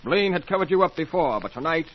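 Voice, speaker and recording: An actor playing a shrewd, authoritative inspector, speaking deliberately and pointedly in an old-time radio mystery. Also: male voice, on the high side, lively intonation, close to an everyday voice. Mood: accusing. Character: shrewd, authoritative police inspector, methodical accuser. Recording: muffled, lo-fi old radio recording with hiss.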